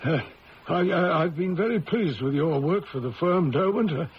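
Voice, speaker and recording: A man's voice: on the high side, expressive, moderate pace, close to an everyday voice. An actor playing a flustered, hesitant older mine director in a radio drama, stammering over his words. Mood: pleased. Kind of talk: 1970s radio drama acting. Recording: muffled old radio broadcast with faint hiss.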